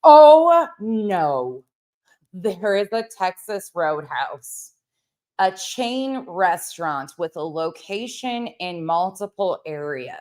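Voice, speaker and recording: Sarcastic, lower-voiced woman commentator. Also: sing-song, slow, a little mellow. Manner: sarcastic, profane. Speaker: commentary channel host. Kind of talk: mocking reaction commentary over a clip.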